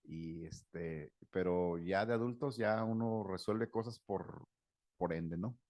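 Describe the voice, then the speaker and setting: A man's voice: deep voice, slow, a little mellow. A middle-aged man, a psychotherapist, giving an informal talk to a group, chatty and anecdotal with frequent fillers and laughs. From Mexico. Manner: conversational, anecdotal, laughs often, many fillers.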